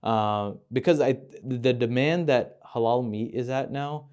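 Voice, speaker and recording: A man's voice: medium pitch, a little slow, a little mellow. A man hosting a podcast, asking thoughtful, winding questions and correcting himself as he goes. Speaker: podcast host. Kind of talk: casual conversational interview.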